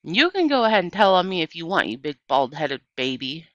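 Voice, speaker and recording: A feisty young storybook girl, read by a woman, stubborn and indignant. Her voice is bright and forceful for all its low pitch, recorded dry. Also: very animated, brisk, no echo, highs cut off. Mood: angry, defiant. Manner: indignant, defiant.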